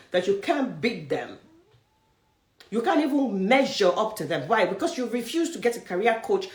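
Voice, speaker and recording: Nigerian lady career coach preaching hard at people, pitch all over the place, roomy echo. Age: young adult. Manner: forceful, preachy, direct challenges to listeners. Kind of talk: motivational talk.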